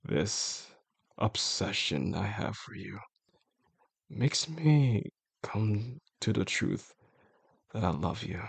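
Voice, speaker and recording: A man acting as a possessive, obsessive male boss in an intimate audio drama. His voice is low and heavily creaky, with a husky rasp. He speaks slowly and deliberately, coaxing with calm menace and formal phrasing. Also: dry recording, full character voice. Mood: intense, confessing. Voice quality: gravelly, husky. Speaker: audio roleplay voice actor. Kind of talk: intimate scripted roleplay monologue.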